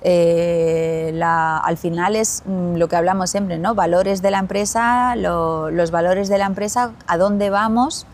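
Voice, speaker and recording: A woman with an Andalusian Spanish accent, a company chief answering interview questions in a measured way, pausing and repeating as she thinks. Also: fairly low pitch, fairly even intonation, a little mellow, a little hiss or hum. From Spain. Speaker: glamping company CEO, guest.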